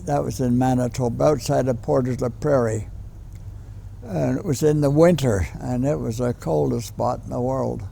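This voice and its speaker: Centenarian male veteran, western Canadian, deep fry and gravel in a mellow voice, folksy, halting reminiscence. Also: fairly even intonation, a little hiss or hum. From Calgary, western Canada. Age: 100. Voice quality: gravelly, creaky. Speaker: war veteran interviewee. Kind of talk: personal reminiscence in an interview.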